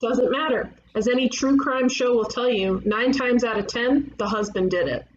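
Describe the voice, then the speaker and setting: Cartoon comedy sidekick: brash, wisecracking young female detective, higher voice, dull slightly noisy recording, read by a woman. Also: normal speed, lightly acted. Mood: cocky. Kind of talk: animated comedy table read. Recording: dull lo-fi mic, faint noise.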